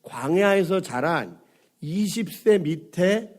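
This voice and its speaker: A middle-aged man, hoarse from a cold, preaching a Sunday sermon as a pastor, conversational and full of fillers, asking his congregation questions. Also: high voice, lively intonation, slow pace. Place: church sanctuary.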